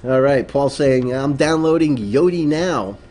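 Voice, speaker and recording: A man's voice: medium pitch, expressive, measured pace, mellow, faint music behind. A man hosting a casual late-night livestream, talking loosely with many ums and uhs and reading viewer messages aloud into a desk microphone. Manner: rambling, many fillers, reads chat aloud. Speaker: livestream host.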